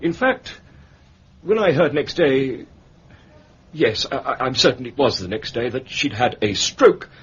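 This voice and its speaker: Fussy English bank manager, muffled recording. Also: male voice, mid-range voice, lively intonation, unhurried, fairly bright tone, full character voice. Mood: uneasy. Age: middle-aged. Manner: hesitant, formal, fussy phrasing. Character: fussy, discreet male bank manager, middle-aged, awkwardly proper. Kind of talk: British period detective radio drama acting. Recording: muffled radio recording, clear background noise.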